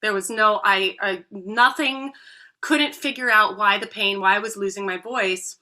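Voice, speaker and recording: A woman's voice: medium pitch, a little slow, pushed and bright. A woman in her thirties, a voice coach, telling her own story as a guest in an earnest, heartfelt way. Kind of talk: podcast interview.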